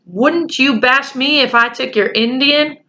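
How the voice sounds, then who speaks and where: Brief: a woman doing a bossy schoolboy, scolding and lecturing, raised pitch, muffled tone. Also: measured pace, sharp tone. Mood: arguing. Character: boy, anxious and bossy, scolding his friend. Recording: slightly muffled home recording.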